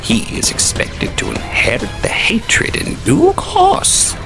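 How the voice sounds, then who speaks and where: A man's voice: very high voice, wildly swooping pitch, slow pace, creaky, whispered, over music, pitched above the performer's own voice, heavily acted. A man doing Malthus, a silky scheming villain. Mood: menacing. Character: sinister scheming male manipulator, smooth and theatrical.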